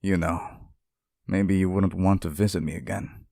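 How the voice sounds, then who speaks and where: A man's voice: low voice, steady tone, moderate pace, vocal fry, a little mellow, no room sound, clean studio sound. A man playing a sweet, clingy, centuries-old sealed demon in an intimate ASMR roleplay, speaking tenderly and hesitantly to one listener. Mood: anxious, fond.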